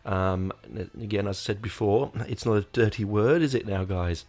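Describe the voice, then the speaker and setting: A man's voice: on the low side, normal speed, darkish tone. Nasal, rambling male podcast host. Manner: rambling, many fillers and restarts. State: nasal, getting over illness. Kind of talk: casual podcast talk. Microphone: desk microphone.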